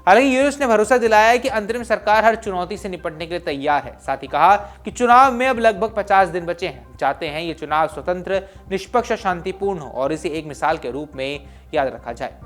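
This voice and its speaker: A man's voice: sits high, a little fast, few pauses, a bit bright. A man presenting scripted news in an emphatic, explanatory way. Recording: voice over music bed. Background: background music.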